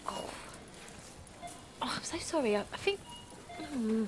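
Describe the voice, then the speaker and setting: An actress playing Linda, an exhausted young mother, who narrates and talks in a halting, apologetic way. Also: high voice, big pitch swings, noisy background, full character voice. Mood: flustered. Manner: halting, apologetic, often softly spoken. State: tired, overwhelmed. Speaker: narrator and lead character. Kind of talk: audio drama acting.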